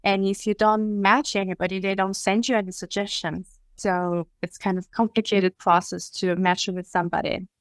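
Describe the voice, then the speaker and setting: Woman, 30s, Bulgarian accent, clear voice, measured guest on a podcast. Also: mid-range voice, little pitch movement, no echo. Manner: measured, with frequent ums and uhs. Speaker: interview guest. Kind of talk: casual conversation.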